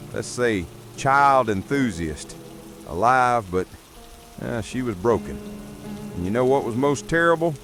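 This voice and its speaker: A man's voice: medium pitch, a bit bright. A man acting a grave veteran sheriff. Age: middle-aged. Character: earnest, wary veteran small-town sheriff. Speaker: sheriff reporting to a radio show. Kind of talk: scripted radio drama monologue.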